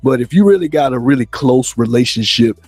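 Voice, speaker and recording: A man around forty hosting a casual group chat in animated, slangy talk. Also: mid-range voice, a little fast, breathy edge, no echo, faint music behind. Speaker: show host.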